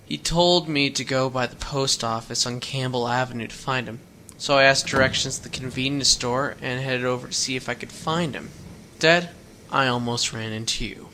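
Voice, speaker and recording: Teen runaway boy, guarded but earnest, bright voice, faint hiss behind. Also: medium pitch, moderate pace. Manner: plain-spoken, rambling storyteller with folksy words. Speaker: hitchhiking runaway. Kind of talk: radio drama acting.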